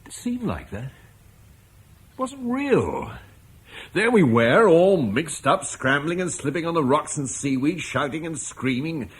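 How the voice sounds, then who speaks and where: An actor playing a gentle, troubled Roman landowner and father, speaking reflectively in a vintage radio drama. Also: a little high, big pitch swings, takes it slowly, breathy edge, full character voice. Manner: reflective, soft-spoken, haunted.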